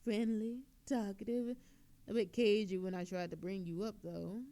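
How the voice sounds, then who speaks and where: A woman's voice: medium pitch, fairly even intonation, normal speed, dark tone, natural voice. A woman playing a smug, flirtatious thief in an audio roleplay, teasing and mocking a detective in a playful, theatrical, coy manner. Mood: cocky, teasing.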